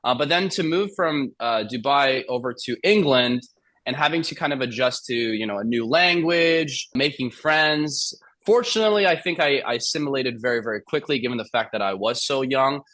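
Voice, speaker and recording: Young actor chatting, lots of fillers. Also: male voice, mid-range voice, moderate pace, fairly bright tone, no room sound. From Iran. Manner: rambling, many fillers and restarts. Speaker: actor guest. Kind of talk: casual interview storytelling.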